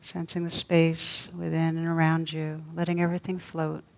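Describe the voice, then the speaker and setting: Female meditation teacher, low even delivery, gentle and unhurried; dull lo-fi recording. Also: creaky. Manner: slow, soft, gentle guidance with long pauses. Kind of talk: guided meditation.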